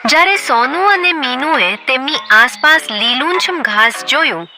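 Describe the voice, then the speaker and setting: A woman narrating a children's cartoon story in a clear storytelling manner. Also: high-pitched, expressive, measured pace, background noise. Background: background music.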